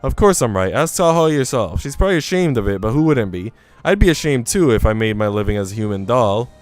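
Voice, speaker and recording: A man's voice: medium pitch, very animated, fast, soft background music, lightly acted. A man voicing a haughty young woman from a visual novel, self-assured and condescending. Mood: smug, scornful. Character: haughty, dismissive young woman, quick to judge.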